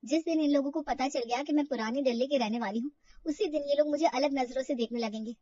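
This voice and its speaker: A woman's voice: sits high, fast talker, mellow, dry recording, distant mic, highs cut off, lightly acted. A woman voicing an insecure young woman who is fretful and defensive in a dramatized story. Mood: anxious brooding. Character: insecure, self-doubting young woman from Old Delhi.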